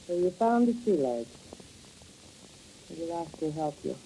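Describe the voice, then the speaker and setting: An actress playing a scheming, desperate femme fatale in a 1950s radio drama, with intense, dramatic delivery. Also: female voice, low voice, very animated, measured pace, gravelly, dark tone, slight background noise, exaggerated voice, poor audio. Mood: menacing.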